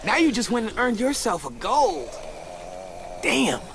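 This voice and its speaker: Game role: cheeky teen boy survivor, high voice, swooping delivery, playful and theatrical. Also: sing-song, measured pace, heavy background noise, heavily acted. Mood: upbeat, teasing. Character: cheerful, cocky, joking teenage boy survivor. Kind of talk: video game voice acting.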